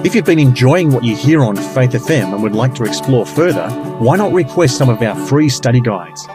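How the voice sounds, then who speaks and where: An Australian man reads a friendly radio promotion in a mid-range voice. Clear guitar music plays behind him. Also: mid-range voice, expressive, fairly quick.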